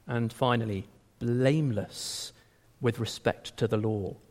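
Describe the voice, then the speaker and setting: A man preaching a sermon in a conversational, animated way, often restarting his words. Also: medium pitch, takes it slowly. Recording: long hall reverb.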